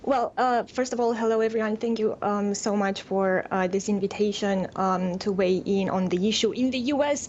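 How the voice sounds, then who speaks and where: Woman policy expert explaining stuff formally, measured, middling pitch, kinda muffled audio. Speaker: privacy policy expert, guest. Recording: dull remote link, faint rumbling noise.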